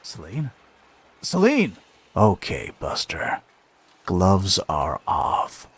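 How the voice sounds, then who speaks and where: An actor playing a brash, tormented last heir of a noble line in a video game cutscene, with a dramatic, sardonic delivery. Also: male voice, sits low, huge pitch swings, extremely slow, slight background noise, heavily acted. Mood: tense, worried.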